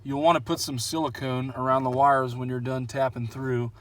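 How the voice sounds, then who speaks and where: A man's voice: medium pitch, moderate pace. Easygoing man explaining a truck install. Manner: relaxed, lots of "uh" fillers, folksy. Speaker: truck owner showing an install. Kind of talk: casual how-to walkthrough. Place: outdoors beside a truck.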